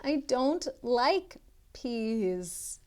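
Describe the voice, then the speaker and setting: A woman's voice: fairly high pitch, sing-song, very slow, a little mellow. A woman tutor reading a picture book aloud to children, expressively and instructively, drawing out some words.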